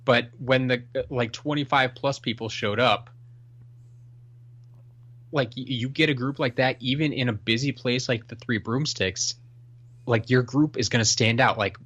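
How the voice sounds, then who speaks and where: A man's voice: mid-range voice, measured pace, fairly bright tone, no echo, highs cut off. A man co-hosting a casual podcast, reading listener emails and chatting with many restarts and fillers. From Washington State, US. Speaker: podcast co-host.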